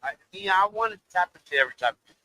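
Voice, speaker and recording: A man with a deep voice, a rapper guest talking loosely in a casual interview, laughing and swearing freely. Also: lively intonation, rapid-fire, pushed and bright, poor audio.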